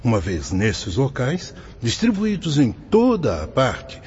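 A man's voice: mid-range voice, wildly swooping pitch, slow, a little mellow, lo-fi sound, a little hiss or hum. A man acting a wise, fatherly spirit mentor in a radio soap opera, speaking in a solemn, didactic, formal manner. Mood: solemn, instructive. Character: wise, fatherly male spirit mentor explaining afterlife rules.

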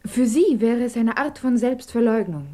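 An actress in a German radio drama playing an earnest, emotional émigré woman who speaks intimately, sometimes dropping to a hushed, breathy tone. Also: on the high side, lively intonation, normal speed. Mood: earnest, urging.

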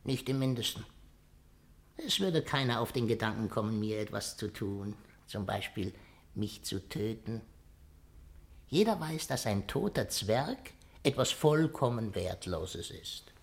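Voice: mid-range voice, lively intonation, a little slow, gravelly. An actor in a 1950s radio play voicing a stunted dwarf acrobat, young, proud, wry and world-weary, with a theatrical, sardonic delivery. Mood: proud, sly. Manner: theatrical, sardonic, shifting between hushed and sharp.

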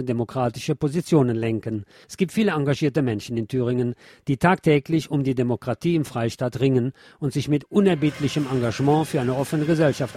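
A man's voice: medium pitch, darkish tone, no echo. A man reading scripted news and press reports as a radio presenter.

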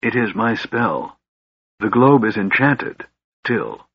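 A man voicing a cool, weary young mage in a fantasy storybook, measured and enigmatic. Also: on the low side, animated, slightly rough, no echo, dull audio, full character voice. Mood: musing.

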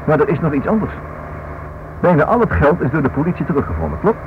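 A man's voice: a little high, wildly swooping pitch, fast, noisy background. An inquisitive writer in an old radio drama. He reasons aloud insistently with very mobile intonation, circling back over his words. The sound is dull and band-limited. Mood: excited reasoning. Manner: thinks aloud, insistent, repeats himself. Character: inquisitive, stubborn married man writing a book. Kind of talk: radio play acting.

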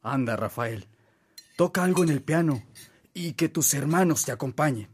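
A man's voice: fairly high pitch, expressive, normal speed, a little mellow, a little hiss or hum, lightly acted. An actor playing a strict, tidy father who owns a hacienda, in a radio drama. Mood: calm, fatherly. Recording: background music under the voice.

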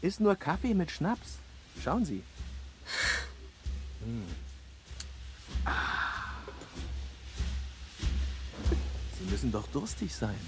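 An actor playing a menacing hunter in a radio crime drama, coaxing and commanding by turns. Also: male voice, a little high, very animated, a little hiss or hum, exaggerated voice. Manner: slow coaxing, commanding, sinister understatement. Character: menacing male hunter, coaxing, insistent, predatory stranger at night.

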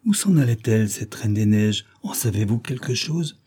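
A man voicing a gentle, frightened little girl who talks in an anxious, pleading way. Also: fairly low pitch, lively intonation, normal speed, breathy edge.

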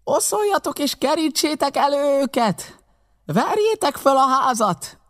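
A man voicing a furious blind old beggar pirate leader in an audiobook, shouting commands and curses. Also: very high voice, lively intonation, pitched above the performer's own voice. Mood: angry, commanding. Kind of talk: audiobook character acting.

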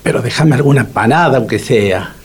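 An actor playing a hospitable, leering Argentine empanada maker in a comic radio play, chatty and nudging, with a husky voice. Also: male voice, mid-range voice, animated, fairly quick. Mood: gossipy, sly. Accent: Argentine, Rioplatense.

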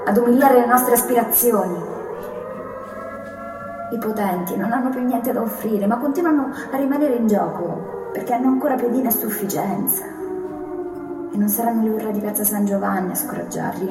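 A woman performs a grave, defiant narrator of protest on stage. Her delivery is solemn and declamatory, heard at a distance in a reverberant hall, with clear music playing underneath. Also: a little high, close to an everyday voice. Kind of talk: dramatic scripted theatrical monologue.

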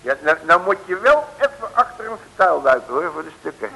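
Brash, excitable radio detective, swooping high. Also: male voice, a little fast, creaky. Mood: cocky, unbothered. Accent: Dutch. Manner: blustery, colloquial, exclamatory. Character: brash, unflappable, excitable male detective in comic serial. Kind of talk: 1950s-60s comic radio drama acting. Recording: hissy old radio recording, long reverb.

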